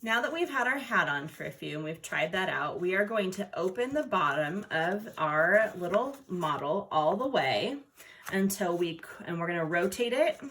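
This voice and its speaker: A woman's voice: on the low side, animated, moderate pace, a bit bright. A woman art teacher talking children through a craft step by step in an informal way. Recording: noticeable background noise.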